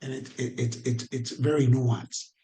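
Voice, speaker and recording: This man speaks with a Caribbean Spanish accent, and he sits in the middle of the usual pitch range for men. He leads a training session over a video call with a loose, conversational delivery. He puts questions to his audience and repeats his points for emphasis. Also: level tone, unhurried, dark tone. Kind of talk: online training talk.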